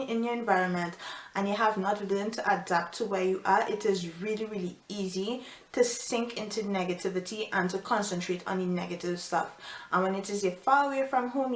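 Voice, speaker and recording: A young woman from Trinidad with a Trinidadian accent, giving earnest, reflective advice in a casual vlog, with frequent fillers. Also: medium pitch. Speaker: vlogger. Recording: echoey room with faint music. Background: soft piano music.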